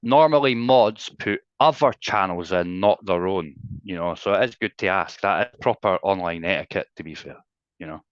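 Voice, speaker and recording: A man's voice: sits low, moderate pace, dry recording. A Scottish man talking on a livestream over his phone, casual, sweary and teasing, given to lecturing on etiquette. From Scotland. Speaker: guest co-host on a livestream. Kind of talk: casual banter. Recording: dull lo-fi phone audio.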